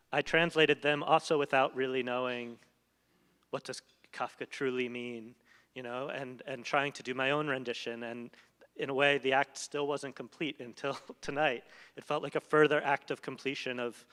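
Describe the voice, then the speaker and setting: A man speaks reflectively on a stage mic in a large hall, pausing to find his words. His voice is smooth and resonant, of medium pitch. The sound carries a long hall reverb. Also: fairly bright tone. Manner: thoughtful, often searching for words. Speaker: translator in a post-reading conversation. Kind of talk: reflective onstage talk.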